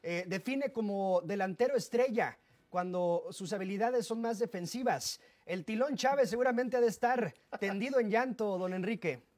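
A man's voice: sits high, moderate pace, darkish tone. A man with a Mexican accent hosting a live sports interview. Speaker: sports broadcast host and interviewer.

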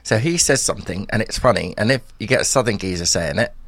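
A man hosting a breakfast radio show, teasing his co-host in quick, jokey banter. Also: fairly low pitch, animated, a bit bright. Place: radio studio.

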